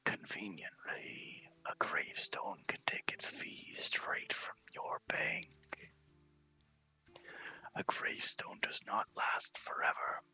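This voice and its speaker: Man whispering as slow, solemn Death. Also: sharp tone, dull audio, faint music behind. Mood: solemn, patient. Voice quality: breathy whisper. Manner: slow, measured, formal. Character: solemn, slow Death explaining mortality to the fallen. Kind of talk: game dialogue read as ASMR.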